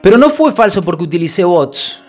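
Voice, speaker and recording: A young man with a Rioplatense accent, a YouTuber talking in a halting, mock-solemn confession, holding a mic close in a small kitchen. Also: sits high, very animated, faint music behind. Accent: Rioplatense Spanish. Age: young adult. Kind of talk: mock-serious confession vlog. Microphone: handheld mic held close.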